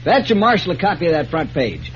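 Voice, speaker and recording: Muffled old radio western, gleeful folksy town printer, voice bouncing all over. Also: male voice, fairly high pitch, brisk. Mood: proud, eager. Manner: folksy, boastful, excitable. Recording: muffled old radio recording, faint hiss.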